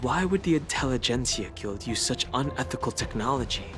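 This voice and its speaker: An actor playing a cool, skeptical man who reasons in a measured way in a game cutscene. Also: mid-range voice, lively intonation, over music, close to an everyday voice. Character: calm, skeptical man questioning others. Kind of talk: video game cutscene acting.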